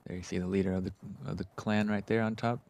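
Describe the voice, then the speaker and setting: Gravelly low-voiced male commentator. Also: flat delivery, fast, a little mellow, dry recording. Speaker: sports commentator. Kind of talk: casual live disc golf commentary.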